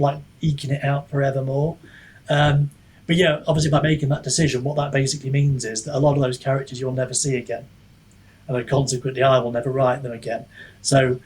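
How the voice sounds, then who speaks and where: A man's voice: mid-range voice, darkish tone, slightly rough, low-quality recording. A man chatting reflectively as an author being interviewed, hesitant and self-deprecating, often wandering mid-thought. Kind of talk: casual reflective podcast chat.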